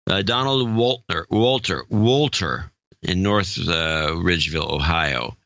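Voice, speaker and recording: A man reads donor names in a slow, unhurried way, his low voice carrying a bright, forward edge. He stops to puzzle over pronunciations and throws in quick jokes. The sound is dry and a little dull. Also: creaky, no room sound. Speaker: podcast co-host reading donor names. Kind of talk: casual chat.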